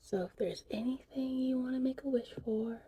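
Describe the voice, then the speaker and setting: Woman acting as gentle witch, ASMR roleplay, breathy whisper, higher-pitched, very mellow tone, whimsical, soothing. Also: a little hiss or hum, close to an everyday voice. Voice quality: breathy whispering, soft-spoken. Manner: slow, soothing, unhurried. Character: gentle, whimsical female witch teaching potion making. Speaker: ASMR performer.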